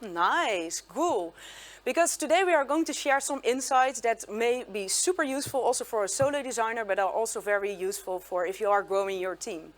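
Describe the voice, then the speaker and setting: A woman, an English speaker, hosting a design meetup and introducing speakers in a friendly, hesitant way with many pauses. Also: a little high. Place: meetup venue, hybrid event.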